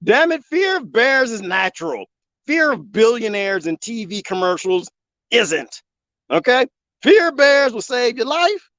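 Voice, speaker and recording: A man live streaming from the desert, joking and rambling in a loose, animated way. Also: very high voice, measured pace, no echo. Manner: animated, joking, imitates bird chirps. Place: outdoors in the desert.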